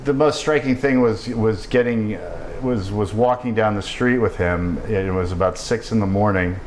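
Low-voiced man telling his story haltingly. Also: slight background noise. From Pennsylvania, United States. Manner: halting, many restarts and fillers, swears casually. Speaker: guest. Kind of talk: casual conversational storytelling. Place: indoors in Bangkok.

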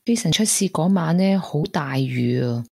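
Woman acting guilt-ridden young hong kong girl in a radio play, soft sad type, bit husky, dry close sound. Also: low-pitched, animated, normal speed, no echo, full character voice. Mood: sorrowful regret. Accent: Hong Kong Cantonese. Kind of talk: Cantonese radio drama acting.

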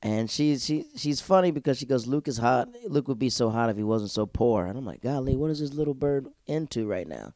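A young man talks through a TV episode on his own, casual and easily sidetracked. His voice is mellow and dark at a middling pitch. The sound is dry and close. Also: fairly quick, hardly any pauses, dry recording. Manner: rambling, easily sidetracked, bursts of excited high-pitched outbursts. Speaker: solo podcast host recapping a TV show. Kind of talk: casual solo chat.

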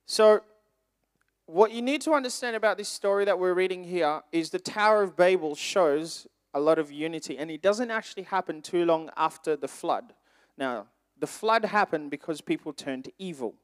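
A man with a fairly high voice speaks as an informal youth preacher, with Swahili and French as his first languages. He tells stories in an animated, conversational way and puts questions to his listeners. The sound is dry and close. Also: normal speed, clean tone, no echo. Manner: conversational, animated storytelling, asks the audience questions. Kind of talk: informal sermon with personal anecdotes.

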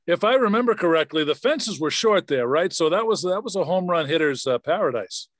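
A man's voice: a little high, animated, fairly quick, no echo. A man hosting a casual, conversational interview, asking questions and reminiscing. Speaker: host.

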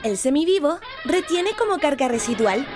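Over prominent music, an actress plays a female announcer with a high voice. She delivers scripted, eerie explanations in the manner of a radio drama. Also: very animated, moderate pace. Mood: instructive, eerie.

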